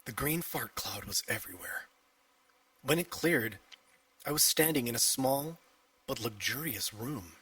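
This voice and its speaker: A man's voice: mid-range voice, lively intonation, a little slow, long pauses, sharp tone, slightly rough, recorded from a distance. A man narrating a scripted children's story as an audiobook reader.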